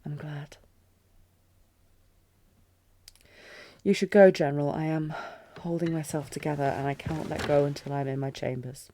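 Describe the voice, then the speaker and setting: Woman acting a regal, tormented queen, low-lit mellow tone. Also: steady tone, a little fast, slight background noise, lightly acted. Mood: strained, forceful. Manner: regal, restrained, intense. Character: commanding, tormented queen in love with her general. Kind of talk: fantasy audio roleplay, dramatic acting.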